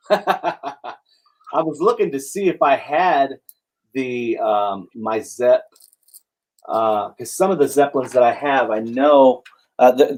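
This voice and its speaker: Man, mid-pitched voice, casual collector chat, halting, lots of restarts. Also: darkish tone. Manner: halting, restarts often, agreeable. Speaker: record collector guest. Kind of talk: casual chat about vinyl records.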